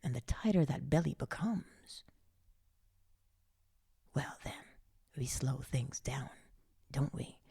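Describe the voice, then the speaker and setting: Slow, breathy woman guiding meditation. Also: extremely low pitch, no room sound, studio quality. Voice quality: breathy, whispery. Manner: hushed, soothing, gently coaxing with pauses. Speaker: meditation guide. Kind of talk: slow guided meditation narration, partly whispered.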